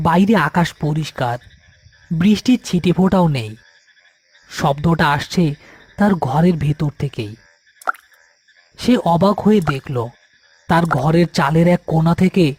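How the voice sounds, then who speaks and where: A man's voice: a little high, animated, a little slow, long pauses, a little mellow, breathy edge, no room sound. A man reading a scripted horror story as its narrator.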